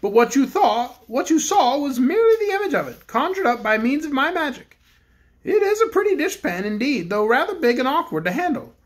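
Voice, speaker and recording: A woman voicing a stately, kindly male bear king who speaks in a measured, courtly way. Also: high voice, sing-song, pitched above the performer's own voice.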